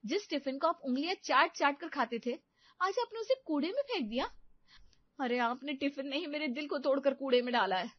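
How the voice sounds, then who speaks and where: A woman's voice: high-pitched, expressive, fairly quick, no room sound, muffled recording, pitched above the performer's own voice. A woman voicing a melodramatic, teasing young sister-in-law in a cartoon family story, with a dramatic, reproachful way of talking. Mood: hurt, indignant.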